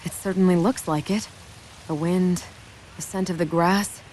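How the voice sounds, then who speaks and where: An actress playing a composed, observant crew member in a game, speaking seriously. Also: female voice, fairly low pitch, fairly even intonation, measured pace, darkish tone, slight background noise, natural voice, poor audio. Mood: pensive. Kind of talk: English game dub cutscene.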